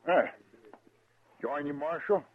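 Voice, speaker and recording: An American actor playing a plain, folksy army storekeeper with a grievance in a 1950s radio western. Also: male voice, a little high, slow. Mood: friendly. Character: folksy, aggrieved army storekeeper, married working man. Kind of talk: 1950s radio drama acting. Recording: tinny vintage 1950s radio recording.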